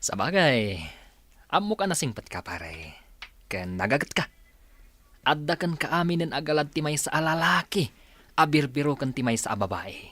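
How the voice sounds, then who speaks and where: Man as a lively, teasing buddy. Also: on the high side, moderate pace, no room sound. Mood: teasing, jovial. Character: teasing, joking young buddy of the suitor. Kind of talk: Ilocano radio comedy drama acting. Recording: faint music under the voice.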